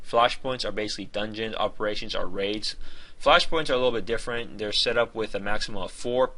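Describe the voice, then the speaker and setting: Bright, forceful man reviewing games. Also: fairly low pitch, steady tone, a little fast. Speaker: game reviewer. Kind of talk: informal spoken game review.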